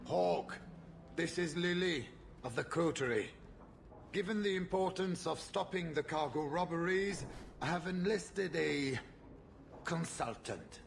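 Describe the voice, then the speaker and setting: A haughty merchant for a video game cutscene. His voice is on the high side with a lot of gritty fry, and he speaks in pompous, contemptuous, formal phrases. There is clear noise behind him. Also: a little slow. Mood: curt, businesslike. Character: haughty, hot-tempered male merchant, foul-mouthed and ruthless.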